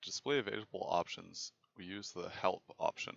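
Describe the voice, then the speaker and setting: Low, gravelly young man presenting, dull recording. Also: fairly quick, fairly bright tone. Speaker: presenter of a student software project. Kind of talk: scripted technical narration.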